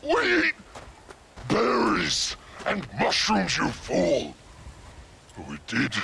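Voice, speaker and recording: An actor playing the last lonely male Sasquatch in a video game, with a gravelly voice and bitter, pleading outbursts. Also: high-pitched, huge pitch swings, slow pace, bright, forceful tone, a little hiss or hum, exaggerated voice. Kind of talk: video game cutscene acting.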